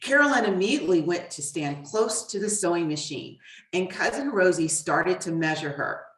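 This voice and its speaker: A woman's voice: lowish voice, lively intonation, moderate pace, fairly bright tone. Echoey, distant woman reading a storybook. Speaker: guest author reading her book. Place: echoey room, away from the mic. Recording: roomy echo, distant mic.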